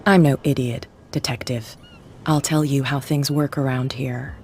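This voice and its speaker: Taunting, menacing gang boss. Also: female voice, a bass voice, steady tone, normal speed, a little mellow, a little hiss or hum. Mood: menacing, commanding. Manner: taunting, commanding. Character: menacing female bootlegger gang boss, territorial and mocking. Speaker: gang leader. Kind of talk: video game dialogue.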